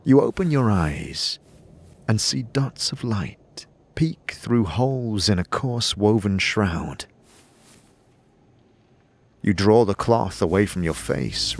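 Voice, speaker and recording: A man narrating a fantasy audio drama as game master, in a measured, deliberate and dramatic storytelling delivery. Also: on the low side, husky. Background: faint music.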